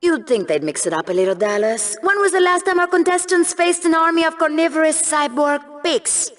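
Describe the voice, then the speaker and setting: A sardonic co-anchor on a video game combat show. Her voice is fairly high and very lively, with theatrical swings and a snide, mean streak. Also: brisk. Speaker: co-host. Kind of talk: scripted video game sports banter.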